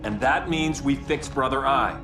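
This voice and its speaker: A man's voice: medium pitch, unhurried, natural voice. A man doing a firm, resolute superhero. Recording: roomy and distant with music.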